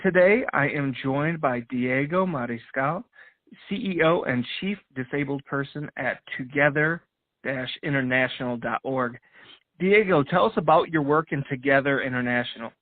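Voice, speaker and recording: A man's voice: medium pitch, normal speed, dry recording. A man hosting an interview series, reading a short intro and asking brief, direct questions. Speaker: interview host. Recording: dry tinny phone-like line.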